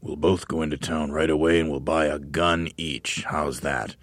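A man's voice: low voice, lively intonation, fairly quick, gravelly, fairly bright tone, no room sound, full character voice. A man playing a cruel, bullying old man in a storybook, snarling and barking orders. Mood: scheming, hushed.

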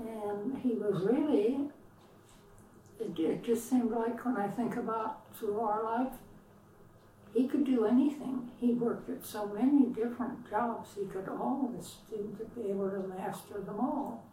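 A woman in her nineties, telling her life story slowly in an oral history interview at home, with pauses and repeats. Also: on the high side, warm tone, a little hiss or hum. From US West Coast. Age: 90s. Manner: slow, reflective, with pauses and repeats. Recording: roomy, from far off.

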